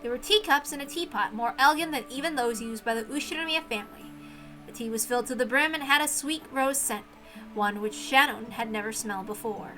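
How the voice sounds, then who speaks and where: High-voiced woman narrating a story. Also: brisk, pushed and bright, rough recording. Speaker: reader and narrator. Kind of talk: expressive scripted story narration. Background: background music.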